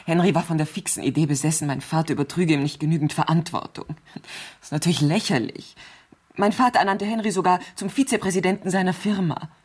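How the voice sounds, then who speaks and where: An actress playing a nervous, demanding wealthy invalid wife, imperious and self-pitying, in a 1970s radio crime drama. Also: female voice, fairly low pitch, fast, darkish tone, husky. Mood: suspicious, imperious.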